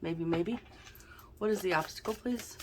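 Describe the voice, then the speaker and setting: A woman's voice: fairly low pitch, normal speed, a little mellow, slight background noise. A woman hosting a casual tarot card reading, chatty and thinking aloud, sounding a little snuffly and stuffed-up. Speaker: tarot reader, channel host.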